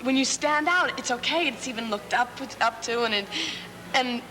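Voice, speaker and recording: Young woman, highish voice in echoing hall. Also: moderate pace, sharp tone, soft background music. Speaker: drama student. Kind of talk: casual group interview. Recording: reverberant hall, old tape.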